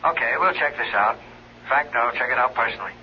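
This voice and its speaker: An actor playing a polite, skeptical police detective in a 1970s radio drama, matter-of-fact and placating. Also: male voice, mid-range voice, fast, close to an everyday voice. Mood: businesslike. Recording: muffled hissy old radio recording.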